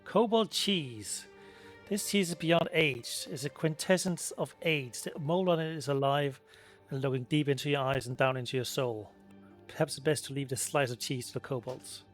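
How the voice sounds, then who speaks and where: A man's voice: medium pitch, moderate pace, soft background music. A man doing casual let's play commentary on a role-playing game, sometimes reading game text aloud. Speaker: gaming streamer playing an RPG.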